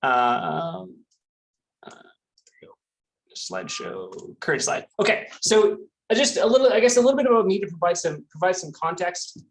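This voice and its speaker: A man's voice: high voice, sing-song, normal speed, creaky. A Canadian man giving a casual online talk as a guest scientist, often restarting and repeating words. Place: home near a busy street. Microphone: computer mic on a video call.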